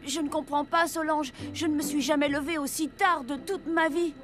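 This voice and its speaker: A woman's voice: sits high, fairly even intonation, normal speed, fairly bright tone. A woman voicing an eager, good-hearted young boy in a French children's cartoon. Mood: puzzled, earnest. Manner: earnest, wide-eyed. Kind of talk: French animated series dialogue.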